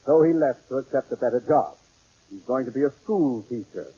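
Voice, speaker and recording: An actor playing an older, blustery bank boss with a raspy voice in an old radio sitcom. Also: male voice, mid-range voice, lively intonation, a little fast, very noisy, lightly acted. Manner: blustery, repeats himself. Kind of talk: 1950s radio sitcom acting. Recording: dull vintage radio recording.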